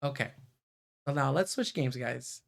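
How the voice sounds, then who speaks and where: A man's voice: mid-range voice, a little slow, gravelly. A man giving casual livestream commentary as a game streamer. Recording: faint game music behind the voice.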